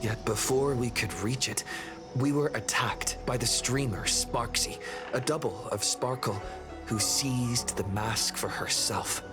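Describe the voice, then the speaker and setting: A man narrating a video game's story recap as its young hero, in a measured, solemn storytelling manner. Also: medium pitch, over music, lightly acted.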